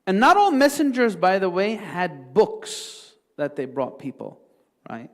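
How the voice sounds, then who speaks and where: A man's voice: sits high, very animated, unhurried. A man speaking as a religious teacher in an informal lecture, explaining step by step and checking in with listeners through short questions. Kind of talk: informal Islamic class lecture, answering a question.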